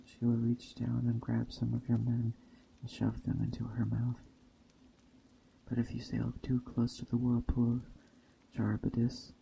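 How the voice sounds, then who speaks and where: A man voicing a wily, seductive witch enchantress in a storybook tale, with soft, coaxing speech. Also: mid-range voice, vocal fry, a little hiss or hum, poor audio. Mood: grave warning.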